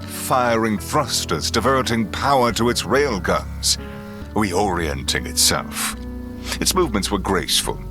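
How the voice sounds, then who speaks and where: An actor narrating a sci-fi audio drama as a grave chronicler, measured, solemn and dramatic. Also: male voice, fairly low pitch. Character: grave male chronicler of a space expedition. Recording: prominent music under the voice. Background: music.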